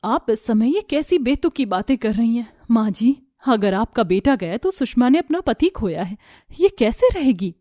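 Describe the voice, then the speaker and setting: A woman's voice: fairly high pitch, lively intonation, normal speed, warm tone, no echo, studio quality, natural voice. Woman as a reassuring, earnest elder sister-in-law. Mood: protesting. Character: kind, sensible elder sister-in-law, protective and persuasive.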